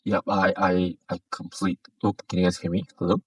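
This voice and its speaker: A man's voice: low-pitched, level tone, fairly quick, no room sound. A man from Singapore who hosts an online audio space panel. He speaks in a casual, easygoing way and uses fillers. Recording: dry, distant online audio call.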